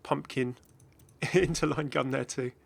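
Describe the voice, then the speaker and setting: A man hosting a gaming video with casual, excited reaction commentary in a rambling, exclamatory way. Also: mid-range voice, takes it slowly, creaky, slightly rough. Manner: rambling, exclamatory, chuckling asides.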